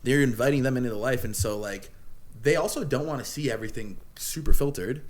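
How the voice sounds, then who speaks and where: A man's voice: medium pitch, quick pace, low-quality recording. A young man hosting a casual podcast, enthusiastic and slangy, full of "like" and "you know". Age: young adult. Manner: enthusiastic, slangy, filler-heavy. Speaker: podcast host. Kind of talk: casual chat.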